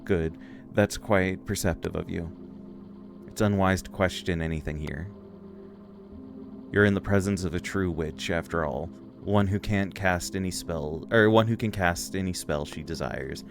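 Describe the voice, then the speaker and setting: A man voicing a calm, slightly condescending magical talking crystal in a video game, with music behind. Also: sits low, unhurried, creaky, noisy background. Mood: knowing. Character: talking floating crystal, calm knowing witch's servant. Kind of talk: reading game dialogue aloud.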